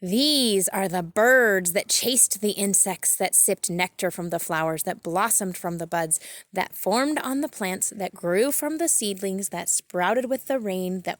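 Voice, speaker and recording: A woman's voice: medium pitch, a bit bright, dry recording. Woman reading a picture book aloud outdoors. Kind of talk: read-aloud with explanatory asides to children. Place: outdoors in a backyard garden.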